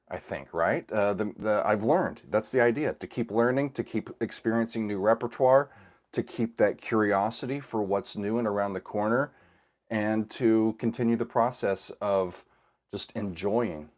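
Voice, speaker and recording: Hoarse middle-aged man chatting casually. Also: on the low side. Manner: relaxed, rambling, frequent fillers and restarts. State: scratchy voice from a cold. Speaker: host of a music reaction channel. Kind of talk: casual livestream chat with viewers.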